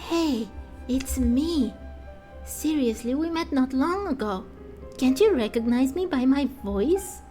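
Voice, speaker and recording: Fantasy game priestess woman performer: self-assured, playful tease, relaxed tone, leisurely delivery. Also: high voice, slow, faint music behind. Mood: teasing. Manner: playful, teasing.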